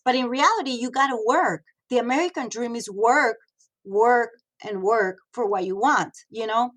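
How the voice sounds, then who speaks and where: A woman's voice: on the high side, normal speed, a bit bright. A woman in her thirties, originally from Mexico, talking frankly in a casual interview, with many fillers and restarts. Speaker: guest, radio host and model.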